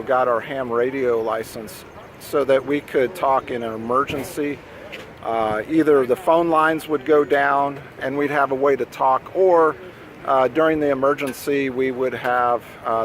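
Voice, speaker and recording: A man speaking informally to the camera as a vlogger and amateur radio enthusiast. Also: medium pitch. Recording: outdoor recording with clear ambient noise.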